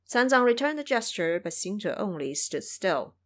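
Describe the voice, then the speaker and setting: A woman's voice: medium pitch, sing-song, moderate pace. A woman narrator delivering scripted storytelling for an audio drama.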